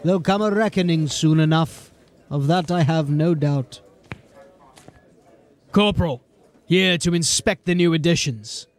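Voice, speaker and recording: Male actor as a blunt, jaded city-watch sergeant, plainspoken, slightly high voice, audio drama. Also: unhurried, pauses often. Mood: steady, grim. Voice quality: plain-spoken, grim and steady.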